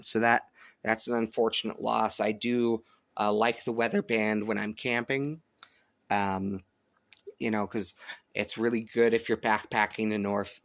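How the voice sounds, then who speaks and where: A man giving a casual hands-on product review, thinking aloud in a halting way. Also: fairly low pitch, gravelly, a bit bright, no echo, studio quality. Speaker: host of a shortwave radio review channel. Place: indoors at home, upstairs room.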